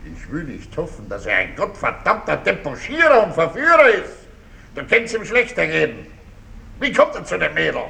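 An actor playing a stern, suspicious police commissioner in a German radio play, commanding and interrogating. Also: male voice, a little high, wildly swooping pitch, normal speed, gravelly, pushed and bright, full character voice. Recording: roomy, slightly distant, hissy radio recording.

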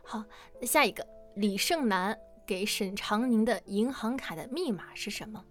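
A woman's voice: mid-range voice, animated, normal speed. A woman hosting a casual fan quiz in a chatty, conversational way, leading the questions. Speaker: quiz host. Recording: music behind the voice.

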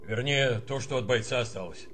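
An actor playing a dutiful army captain in a radio drama, reporting in a formal, terse way. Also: male voice, mid-range voice, fairly quick, fairly bright tone, lightly acted. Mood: uneasy. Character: dutiful army captain, company commander under rebuke. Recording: faint background ambience noise.

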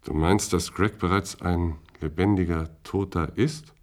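Second-in-command, lowish voice, earnest, questioning, uneasy, sci-fi radio play. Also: male voice, fairly even intonation, unhurried, a little mellow. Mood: doubtful, uneasy. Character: anxious, doubtful male deputy spaceship officer. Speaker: actor. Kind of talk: German science-fiction radio drama.

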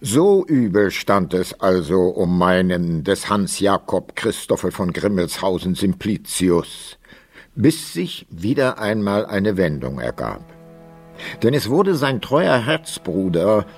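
Wry storyteller narrating a radio play, lowish voice, music around. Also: male voice, darkish tone, slightly rough, heavily acted. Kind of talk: scripted radio drama narration.

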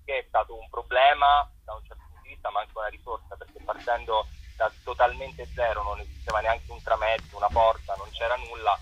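A low, husky-voiced man with a Roman Italian accent, a theatre director who explains things in a measured, orderly way. The sound is dry and dull, as if heard over a phone line. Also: level tone, fairly bright tone.

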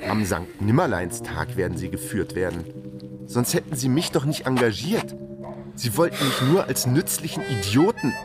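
A man's voice: mid-range voice, lively intonation, moderate pace, over music, lightly acted. A man playing a brooding, self-mocking detective who narrates a crime radio drama in a laconic way. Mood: bitter. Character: wry, brooding down-on-his-luck male detective.

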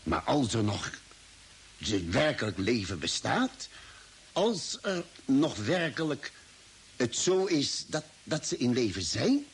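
Earnest space doctor, hissy vintage radio. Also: male voice, mid-range voice, sing-song. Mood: grave, pondering. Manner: deliberate, explanatory, dramatic pauses. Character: earnest spaceship doctor, reasoning out a grim theory. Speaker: radio drama actor. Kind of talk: old Dutch radio play acting.

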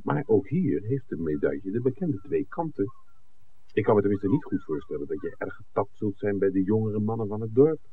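A shrewd film scout in a vintage radio drama. His deep voice moves expressively, with dry teasing and deliberate phrasing. The old recording is noisy and muffled. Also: big pitch swings. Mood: wry, emphatic. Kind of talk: 1960s radio drama acting.

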